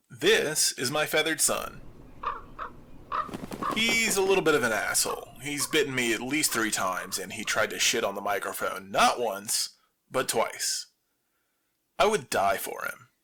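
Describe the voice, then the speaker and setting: A man performing a scripted audio drama monologue as a lone survivor hosting a radio show, with wry deadpan humor. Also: mid-range voice.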